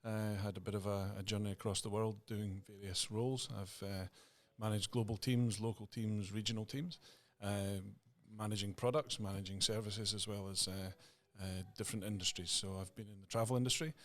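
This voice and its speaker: Scottish podcast guest, middle-aged man, low voice, easygoing and chatty. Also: steady tone, normal speed, few pauses. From Scotland. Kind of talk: casual interview chat.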